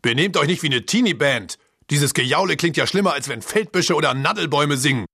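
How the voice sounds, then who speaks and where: A man's voice: fairly high pitch, expressive, a little fast, bright, forceful tone, husky, no echo, studio quality, pitched above the performer's own voice, exaggerated voice. A man voicing a stern male donkey bandleader in a storybook reading, scolding in a raised voice.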